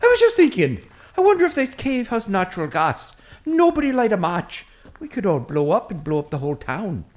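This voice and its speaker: A woman voicing a chatty, excitable Irish priest, a short man who rambles eagerly in an Irish accent in a comic audio drama. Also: mid-range voice, a little fast, lightly acted. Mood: anxious. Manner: rambling, eager, easily distracted.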